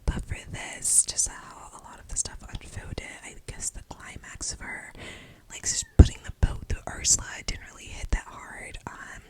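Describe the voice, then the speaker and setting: A young woman giving a casual, informal movie review, whispering nearly the whole time in a breathy, unvoiced voice. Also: normal speed. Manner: informal, slangy, rambling. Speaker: film reviewer.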